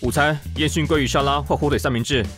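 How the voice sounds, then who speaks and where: An actor voicing a terse, cold, exacting male boss with a deadpan manner, in an audio drama. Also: medium pitch, fast talker, faint music behind, lightly acted. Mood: deadpan, brisk. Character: curt, imperious, exacting fashion boss.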